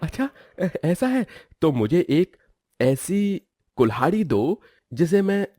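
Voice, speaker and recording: A man's voice: fairly high pitch, sing-song, slow, mellow, dry recording, studio quality, full character voice. A man voicing a lazy, scheming young woodcutter husband who whines and bargains in a cartoon story. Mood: sly, demanding. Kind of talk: Hindi cartoon story voice acting.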